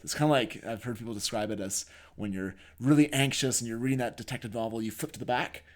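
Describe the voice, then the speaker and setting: A man's voice: medium pitch, quick pace. A man co-hosting a podcast, explaining his thoughts in a reflective, conversational way and thinking aloud as he goes. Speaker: podcast co-host. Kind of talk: conversational discussion.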